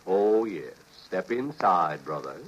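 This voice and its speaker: An unctuous, furtive American salesman in a 1950s radio play. His voice is low and rough, with swooping intonation and soothing, confidential patter. The vintage recording sounds dull. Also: lively intonation, slow pace, heavily acted. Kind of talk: 1950s radio drama acting.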